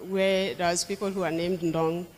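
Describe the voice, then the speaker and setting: A young woman from Senegal, an English teacher, answering interview questions thoughtfully, with restarts and soft laughs. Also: on the low side, little pitch movement, normal speed, noisy background. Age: young adult.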